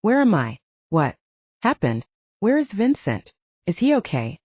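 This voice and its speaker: Woman acting a croaky, dazed young woman. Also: mid-range voice, sing-song, measured pace, a little mellow, no echo, highs cut off. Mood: disoriented worry. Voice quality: croaky, hoarse whispering. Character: dazed, anxious young woman waking injured.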